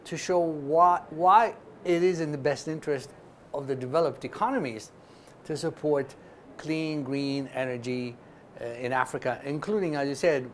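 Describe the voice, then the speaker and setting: A man's voice: a little high, normal speed, a little hiss or hum. A man from Ethiopia explaining business as an interview guest, speaking emphatically and punctuating his points with short check-ins. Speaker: interview guest, investment fund chairman.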